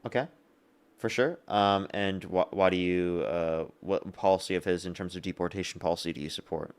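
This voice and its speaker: A young man hosting a live debate stream, briskly taking callers and repeating his stock lines to viewers. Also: deep voice, fairly even intonation, clear, resonant tone. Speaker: livestream debate host. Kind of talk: live-stream call-in chat.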